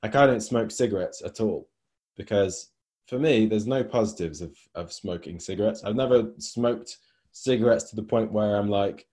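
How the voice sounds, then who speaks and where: Chill coach guy on a podcast, mellow low voice, says like a lot. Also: moderate pace. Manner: conversational, many fillers like "like" and "you know". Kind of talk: casual podcast discussion.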